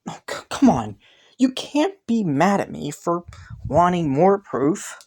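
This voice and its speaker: A man's voice: high-pitched, big pitch swings, slow, a little mellow, full character voice. A man playing a haughty, teasing young crown prince in a romantic fantasy audio roleplay, suspicious yet intrigued, with a smug manner. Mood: surprised, probing. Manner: teasing, smug, chuckling and sighing between phrases.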